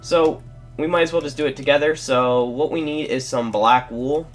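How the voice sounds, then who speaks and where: A man's voice: mid-range voice, moderate pace, faint music behind. A young man with a congested, stuffy voice narrating a casual building tutorial as a game host, rambling and correcting himself as he goes. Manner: rambling, self-correcting, apologetic. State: congested from a cold. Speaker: Let's Play host.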